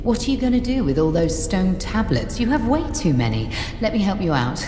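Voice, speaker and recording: A woman's voice: mid-range voice, very animated, brisk, natural voice. A woman playing a confident, wry treasure seeker in a video game, with a teasing, sardonic way of talking. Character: wry, confident female treasure-seeking adventurer. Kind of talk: video game character acting. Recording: reverberant hall with music behind.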